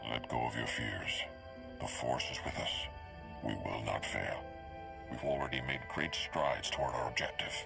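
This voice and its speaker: An actor playing a solemn, resolute Jedi master in a video game, with a very deep gravelly voice and measured delivery. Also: male voice, steady tone, music in the background, full character voice. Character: grave, ancient-sounding male Jedi master rallying a secret mission. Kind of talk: video game cutscene acting.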